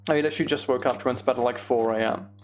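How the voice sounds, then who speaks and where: A man streams a game with a medium-pitched voice, talking casually to his viewers. He often mutters through what he is doing step by step. There is noticeable noise behind him, with keyboard typing and clicks. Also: steady tone, rapid-fire. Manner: muttering step-by-step self-narration of tasks. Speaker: livestream host. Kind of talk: casual live gaming commentary, chatting with viewers. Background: computer keyboard typing and clicking.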